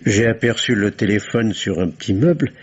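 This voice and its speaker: Nervous doctor under questioning, rough breathy voice, lowish, hesitant, dull old radio sound. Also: male voice, normal speed. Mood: confessing. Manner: hesitant, guarded, then confessional. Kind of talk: 1950s French radio drama acting.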